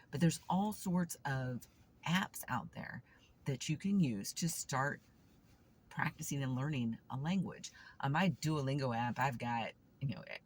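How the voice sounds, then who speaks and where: A woman's voice: rumbling low, vocal fry, slight background noise. A woman vlogger and substitute teacher talking through a casual, reflective morning monologue, candid and thinking aloud with frequent restarts. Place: seated, waiting outside a store.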